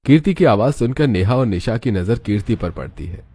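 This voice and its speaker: A man's voice: fairly low pitch, lively intonation, a little fast, warm tone, breathy edge. A man narrating a scripted cartoon story. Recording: music behind the voice. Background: background music.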